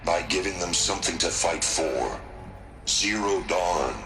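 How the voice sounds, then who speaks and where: A man's voice: sits low, a little slow. A man with an American accent playing a resolute, commanding middle-aged military chief in a game, firm and authoritative. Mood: grave, commanding. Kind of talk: video game cutscene voice acting. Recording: roomy echo, music behind.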